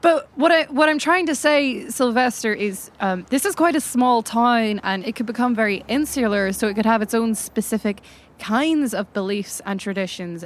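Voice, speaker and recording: A woman's voice: medium pitch, slight background noise, lightly acted. Woman playing a tactful curious investigator, kinda hesitant explaining, bit of background ambience. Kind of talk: improvised role-play dialogue.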